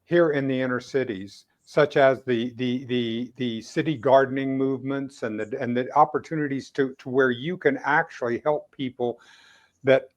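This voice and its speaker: A man hosting a conversational interview, speaking earnestly and haltingly, often repeating phrases for emphasis. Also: mid-range voice, fairly even intonation, dull audio. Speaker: interviewer, host.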